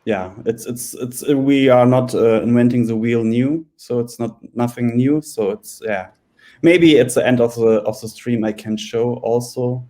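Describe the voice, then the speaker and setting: Hesitant German-accented man, warm voice. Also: medium pitch, unhurried. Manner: hesitant, many fillers. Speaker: guest developer.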